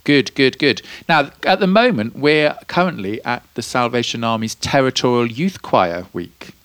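A man's voice: mid-range voice, lively intonation, moderate pace, a bit bright, dry recording. A British man hosting a podcast, chatting in a friendly, encouraging way and asking lots of tag questions. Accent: British English. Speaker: podcast host. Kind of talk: friendly interview chat. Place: indoor room at a youth music week.

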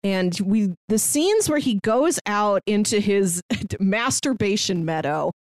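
Female co-host, mid-range voice, animated and conversational film discussion, very dry sound. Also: medium pitch, takes it slowly, dry recording. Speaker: film review podcast co-host.

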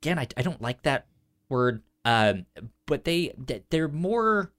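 A man with a stammer chatting casually and enthusiastically as a podcast co-host, often halting on repeated sounds. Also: fairly high pitch, very animated, fairly bright tone. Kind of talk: casual unscripted podcast chat about entertainment news.

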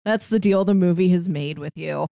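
A woman's voice: on the low side, moderate pace, creaky, warm tone, no echo, clean studio sound. A woman co-hosting a podcast, chatting casually about films and telling anecdotes. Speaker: podcast co-host.

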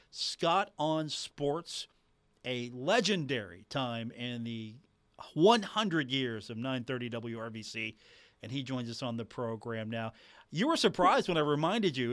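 A man's voice: medium pitch, fairly bright tone, no room sound. A man hosting a sports talk radio show in a conversational way, speaking on a studio microphone. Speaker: sports radio host and producer. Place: radio station producer's booth. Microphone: studio broadcast microphone.

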